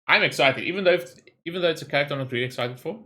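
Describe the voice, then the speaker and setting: A man giving casual, excitable and rambling reaction commentary as host of a gaming video. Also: on the high side, rapid-fire, faint music behind.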